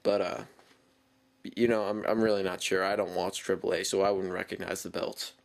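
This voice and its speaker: A man's voice: sits low, little pitch movement, moderate pace, gravelly. A man with a cold, talking through a casual wrestling show review with lots of ums and self-corrections. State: stuffy from a cold.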